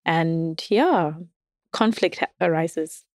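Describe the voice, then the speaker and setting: A woman's voice: low voice, takes it slowly, no echo, clean studio sound. A woman guest in casual conversation, candid and self-deprecating, laughing often as she talks. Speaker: podcast guest. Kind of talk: casual dating chat.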